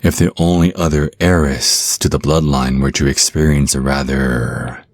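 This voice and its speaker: A man playing a sinister vampire naga kidnapper in an ASMR roleplay, slow and deliberate, with menacing politeness and a husky voice. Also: rumbling low, steady tone, no echo, clean studio sound. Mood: cold, scheming.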